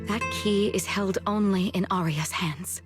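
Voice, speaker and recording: A reserved former singer acted by a woman in a game drama, with a mid-pitched voice. She speaks in a measured, subdued way, weighed down by regret, while guitar music plays clearly behind her. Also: natural voice. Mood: regretful, resigned. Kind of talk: video game dramatic voice acting.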